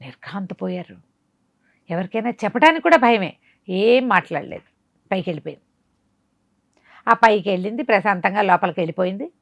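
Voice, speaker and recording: A woman telling a folk moral tale in a conversational, dramatic way, pausing for asides and rhetorical questions. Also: mid-range voice, animated, gravelly, no echo. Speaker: storyteller. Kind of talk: animated oral storytelling of a folk moral tale.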